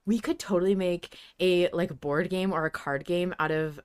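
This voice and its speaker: A woman co-hosting a casual fan discussion, talking in an animated, tangential way and swearing casually. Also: fairly low pitch, normal speed, clean tone. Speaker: podcast co-host.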